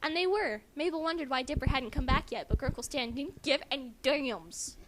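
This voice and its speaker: A woman's voice: high voice, moderate pace, a bit bright. A woman doing an amateur mock-dramatic story reading at home, mixed with casual commentary. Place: friend's house. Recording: faintly noisy amateur home recording.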